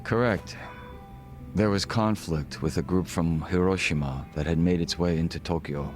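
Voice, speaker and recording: A man voicing a refined, reserved gangster in a video game cutscene, speaking in formal, measured, polite phrases. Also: low-pitched, soft background music, lightly acted. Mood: grave, reflective. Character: refined, reserved Korean gangster, a former body double.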